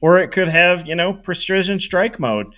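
A man brainstorming casually on a podcast in an animated, playful way. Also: high voice, moderate pace. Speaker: podcast panelist pitching an invention. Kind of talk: casual excited brainstorming chat.

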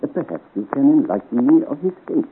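Man acting a courtly old-radio French duke, lively intonation. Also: mid-range voice, quick pace, narrow, tinny audio, natural voice. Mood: courteous, probing. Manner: formal, courteous, theatrical diction. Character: courtly, shrewd ageing French duke, secretly anxious. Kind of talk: 1950 radio serial acting. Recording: scratchy, thin, noisy 1950s radio recording.

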